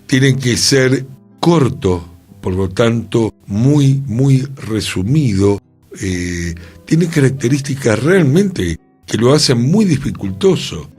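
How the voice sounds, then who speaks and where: A man with a Rioplatense accent co-hosting a cultural radio discussion, speaking deliberately and repeating words for emphasis. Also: mid-range voice, lively intonation, darkish tone, slightly rough, soft background music. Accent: Rioplatense Spanish. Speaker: radio show co-host.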